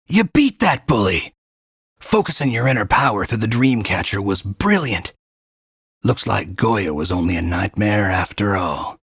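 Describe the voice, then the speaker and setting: A deep, very husky folksy guide. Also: male voice, fairly bright tone, no echo, studio quality, exaggerated voice. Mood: excited. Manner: folksy, drawling colloquial talk, wry. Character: folksy gruff male guide to a fantasy land, wry mentor. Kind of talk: scripted game character narration.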